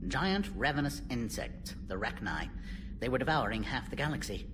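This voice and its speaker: A man playing an alien scientist in a video game, brisk and clinical, with clipped, precise, academic phrasing. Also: medium pitch, animated, close to an everyday voice. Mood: dry, explanatory. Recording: distant voice over clear background noise.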